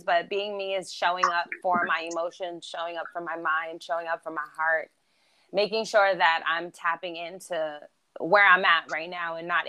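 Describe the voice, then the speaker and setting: A woman's voice: lowish voice, sharp tone, a little hiss or hum. A woman born in Germany with German as her first language, speaking English with an American East Coast accent in an upbeat, chatty, motivational way as an interview guest. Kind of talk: casual interview chat.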